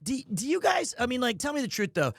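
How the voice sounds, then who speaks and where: A man's voice: very high voice, huge pitch swings, fast, a bit bright, no echo, studio quality. A man hosting a livestream, talking casually and jokingly. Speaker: livestream host. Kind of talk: casual stream chat.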